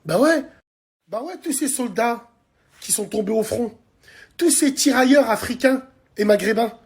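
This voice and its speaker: A man in his forties from France, ranting to camera from a dark bedroom at night on his phone. He is heated and crude, hurls insults and speaks straight to his viewers. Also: extremely high pitch, expressive, unhurried, slightly rough. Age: 40s. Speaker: social media commentator. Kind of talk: angry political rant to camera. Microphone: phone held at arm's length.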